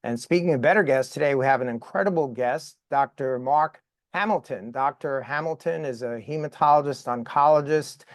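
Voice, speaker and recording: A man hosting a podcast interview over video call, raspy from a recent cold, enthusiastic and full of fillers. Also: mid-range voice, no echo, clean studio sound. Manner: enthusiastic, halting with many fillers. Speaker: podcast host.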